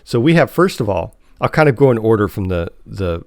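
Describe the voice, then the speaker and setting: A man's voice: lowish voice, big pitch swings, a little fast, dry recording, studio quality. A man co-hosting a podcast, chatting informally and enthusiastically like a fan. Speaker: podcast co-host. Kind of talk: casual chat about TV shows.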